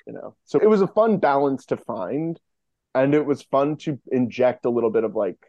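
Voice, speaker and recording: A man's voice: medium pitch, animated, normal speed, warm tone, no room sound, dull audio. A man speaking as a podcast guest in casual, rambling, enthusiastic interview chat. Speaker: podcast guest, fiction podcast co-creator.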